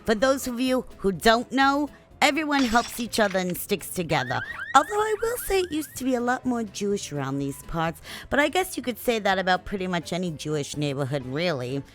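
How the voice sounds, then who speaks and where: A woman's voice: medium pitch, very animated, fairly quick, natural voice. A woman playing a chatty, gossipy older neighborhood watch host.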